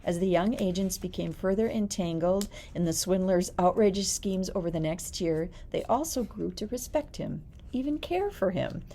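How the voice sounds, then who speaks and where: A woman's voice: lowish voice, animated, moderate pace, mellow, slight background noise. A woman librarian hosting a book talk, reading prepared blurbs aloud with some light chat. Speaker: librarian host.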